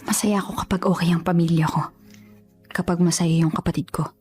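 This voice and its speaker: A woman's voice: on the low side, fairly even intonation, fairly quick, a little mellow, natural voice. A woman playing a stubborn, self-sacrificing young woman in a radio drama, natural in delivery. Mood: pleading, firm. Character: stubborn, self-sacrificing young woman resisting love for her sister's sake. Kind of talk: Tagalog radio drama acting. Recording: music behind the voice. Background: music.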